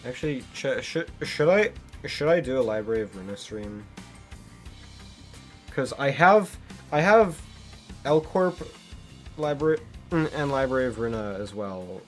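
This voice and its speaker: A man's voice: medium pitch, sing-song, unhurried, long pauses, faint music behind. A young man live-streaming a game, chatting casually with lots of restarts and laughter while translating the lines.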